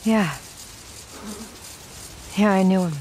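An actress as a determined American woman scientist in her thirties from a survival game. She sounds knowledgeable and speaks naturally at a middle pitch. Faint outdoor ambience sits behind her. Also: takes it slowly, darkish tone, slight background noise, lightly acted. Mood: matter-of-fact. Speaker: game character, researcher. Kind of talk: naturalistic video game cutscene acting.

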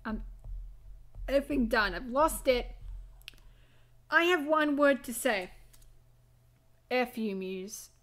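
A woman's voice: sits high, slow pace, pauses often, a bit bright. A woman recording amateur voice acting outtakes, theatrical and mock-exasperated, often breaking off from her script. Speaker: amateur voice actor. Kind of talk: blooper outtakes between script reads.

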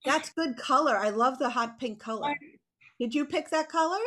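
An American woman with a fairly high voice talks to children on a video call. She explains things simply and casually, in an encouraging manner. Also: moderate pace, fairly bright tone. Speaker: children's author and illustrator as guest. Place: small home room with bookshelf, indoors. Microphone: computer mic on video call.

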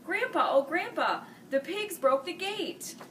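A woman in her forties reading a children's picture book aloud, dropping low and soft for the older characters. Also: lively intonation, unhurried. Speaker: school staff reading buddy. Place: small room, seated before a green-screen farm backdrop. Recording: distant mic with faint hiss.

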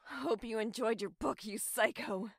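A woman acts a wounded, vengeful young woman in an audio drama. Her voice is fairly high and dry, and she speaks slowly, with bitter, halting intensity. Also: no echo. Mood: bitter, shaken.

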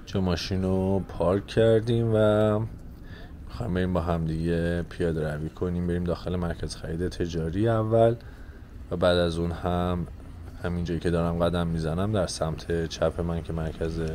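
Male walking-tour host, low register, chatting casually to viewers over clear outdoor street noise. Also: steady tone, dark tone. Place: outdoors at night, walking through a car park.

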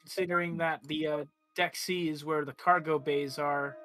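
A man's voice: fairly high pitch, steady tone, normal speed, a bit bright. A man playing a tabletop roleplaying game, chatting casually and thinking aloud hesitantly. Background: music.

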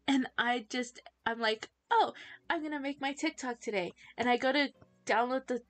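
A woman's voice: sits high, a bit bright, no room sound. A teenage girl chatting casually to viewers while playing a game, giggly and easily distracted. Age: teens. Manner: chatty, giggly, self-distracted, quick to laugh. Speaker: streamer. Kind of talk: casual livestream chat while gaming.

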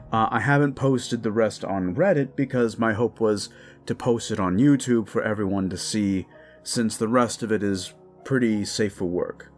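A man, an audio drama creator, casually and hesitantly thanking his listeners in an informal outro. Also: fairly low pitch.

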